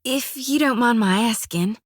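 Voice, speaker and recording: An actress voicing a folksy, earnest young priestess of a death god in a video game, with a drawl, rambling and plainspoken. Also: female voice, on the high side, moderate pace, no echo, studio quality, close to an everyday voice.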